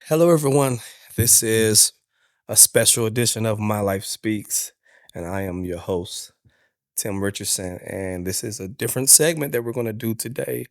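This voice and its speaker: Male podcast host, gravelly edge, casual and slangy, dry close sound. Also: lowish voice, moderate pace, no echo. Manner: laid-back, slangy, talks to listeners as y'all. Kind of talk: casual interview chat.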